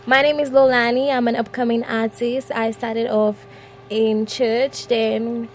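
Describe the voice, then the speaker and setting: A young woman with a soft, somewhat high voice talks cheerfully about her singing as a guest in the radio studio. She laughs easily and keeps her voice gentle. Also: flat delivery, a little slow, slight background noise. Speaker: singer and guest. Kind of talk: radio interview.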